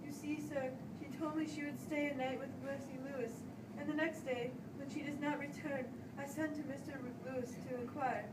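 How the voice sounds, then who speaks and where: An anxious Puritan man of the church played by a woman, high in pitch, stammering and pleading with his superiors. The amateur drama is heard from far off over clear background noise. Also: flat delivery, moderate pace, natural voice. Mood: nervous, hesitant. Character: anxious, distraught Puritan minister, a grown man. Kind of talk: amateur stage-play acting. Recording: echoey room, far mic, hissy noise.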